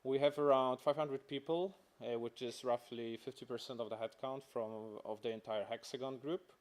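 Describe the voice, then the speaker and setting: A man from Poland with a Polish accent giving a corporate conference presentation in halting, non-native English. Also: medium pitch, fairly even intonation, a little mellow. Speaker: company representative presenting.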